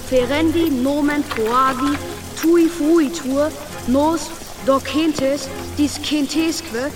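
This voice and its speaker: A woman playing an earnest young schoolboy scholar reciting a formal, measured oration in a radio drama. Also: high voice.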